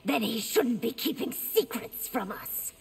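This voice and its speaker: Casting: haughty young empress, highish voice with fry, demanding game dialogue. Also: female voice, lively intonation, unhurried, darkish tone, slight background noise. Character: imperious, impatient young empress. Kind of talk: video game voice acting.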